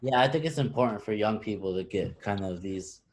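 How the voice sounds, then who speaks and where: A man with a fairly low voice asking interview questions. His phrasing is loose and casual, with small hesitations as he gets to the point. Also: a little fast.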